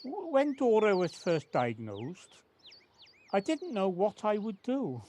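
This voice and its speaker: A woman in an amateur audio drama playing a devoted, wistful male gardener, speaking in an earnest, halting, reflective way. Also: medium pitch, huge pitch swings, dark tone, heavily acted. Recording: noisy home personal-device recording with clicks.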